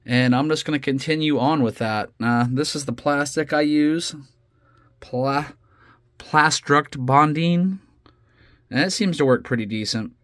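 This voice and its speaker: A man's voice: on the high side, measured pace, no echo, studio quality. A man with a head cold and a slightly nasal voice, chatting casually as an RC hobby host about his build. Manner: relaxed, chatty, with fillers and restarts. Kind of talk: casual how-to build commentary. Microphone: phone camera.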